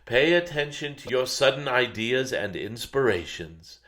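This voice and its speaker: A man channeling an ancient, gentle, wise dragon spirit, delivering a spiritual message in a solemn meditative way, with pauses and murmured hums. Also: mid-range voice, expressive. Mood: soothing, solemn.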